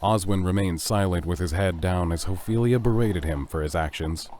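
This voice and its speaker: Deep-voiced male story narrator. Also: moderate pace, a little mellow, a little hiss or hum.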